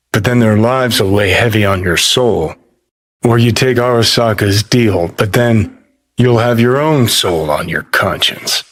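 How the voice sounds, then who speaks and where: A man's voice: on the low side, a bit bright. A man playing a cynical, brash rebel rocker in a video game, sardonic, blunt and foul-mouthed. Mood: serious, blunt. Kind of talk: video game character acting.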